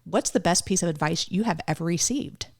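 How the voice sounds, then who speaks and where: A woman's voice: lowish voice, fairly quick. A woman in her forties hosting a podcast interview, chatty and enthusiastic with her guest. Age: 40s. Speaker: podcast host.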